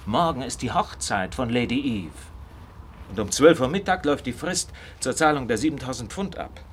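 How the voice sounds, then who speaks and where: Actor as suave, animated male detective. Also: medium pitch, moderate pace, slight background noise, natural voice. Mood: resolute. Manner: theatrical, suave, teasing. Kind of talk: German radio drama acting.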